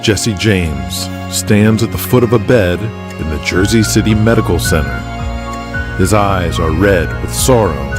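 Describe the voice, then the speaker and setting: A man's voice: low-pitched, expressive, measured pace. A man giving dramatic scripted narration as the narrator of an audio drama. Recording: music under the voice. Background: music.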